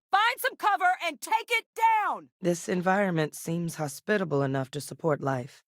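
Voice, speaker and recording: An actress playing a composed, earnest woman scientist with a military past in a video game, speaking in a measured, serious, articulate way. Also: fairly low pitch, dry recording, studio quality, close to an everyday voice. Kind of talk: scripted game dialogue, naturalistic acting.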